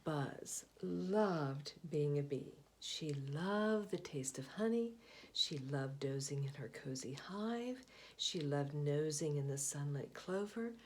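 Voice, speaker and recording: Slow expressive woman reading a picture book. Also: deep voice, slight background noise. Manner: expressive, slow, hushed asides. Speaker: storybook reader. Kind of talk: picture book read-aloud.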